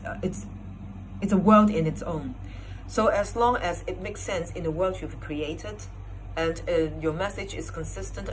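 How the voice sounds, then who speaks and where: Woman, competition judge. Low-pitched, explanatory, stop-and-start delivery, with faint background noise. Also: rough recording. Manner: explanatory, halting, searching for words. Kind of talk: spoken feedback talk to contestants.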